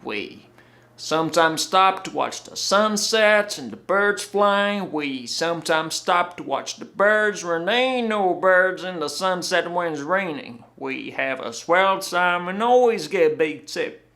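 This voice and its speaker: A man acting a loud, fed-up veteran cabbie. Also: high-pitched, lively intonation, takes it slowly, voice pushed up, full character voice. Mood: emphatic reminiscing. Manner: folksy, emphatic, repeating words. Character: fed-up veteran cab driver, working-class, exasperated, loud. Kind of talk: theatrical stage monologue.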